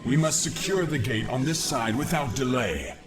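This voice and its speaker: An actor playing a young, noble, dutiful alien warrior in a video game, speaking formally like a military officer. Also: male voice, mid-range voice, lively intonation, moderate pace, lightly acted. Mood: urgent. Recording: game music behind voice.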